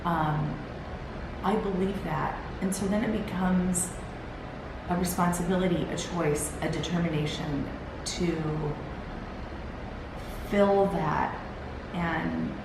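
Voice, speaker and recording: Woman, gravelly, tired, earnest and rambling, echoey room, steady background hiss. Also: lowish voice, slow. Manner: earnest, encouraging, rambling with restarts. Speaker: host. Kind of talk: solo motivational talk. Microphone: camera on a timer.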